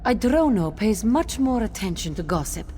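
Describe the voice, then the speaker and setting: Woman as a mellow, gentle cat-folk mushroom grower. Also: medium pitch, big pitch swings, a little hiss or hum, lightly acted. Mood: calm, informative. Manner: speaks of self in third person, measured. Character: gentle female cat-folk fungus grower, modest and matter-of-fact. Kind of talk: video game character dialogue.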